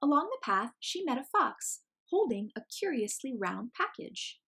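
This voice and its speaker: Woman doing a kids story read aloud, expressive, bit of husk in the voice. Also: high-pitched, normal speed, slightly rough. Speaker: storyteller reading a picture book aloud.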